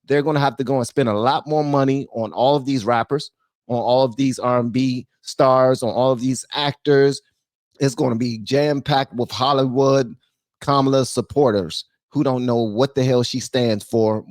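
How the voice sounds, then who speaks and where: A man's voice: medium pitch, a little mellow, dry recording, studio quality. Emphatic man hosting reaction commentary. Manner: emphatic, preachy, rhetorical questions. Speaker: commentary channel host.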